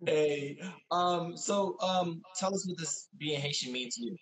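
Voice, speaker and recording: A man's voice: fairly high pitch, measured pace, fairly bright tone, low-quality recording. A man hosting a casual interview, easygoing and slangy.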